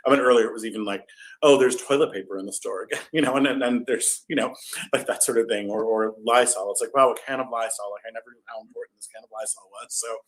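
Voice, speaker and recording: A man's voice: medium pitch, steady tone, fast, poor audio. A man chatting casually as an interview guest, thinking aloud in a tentative, loosely hedged way. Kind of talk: casual chat.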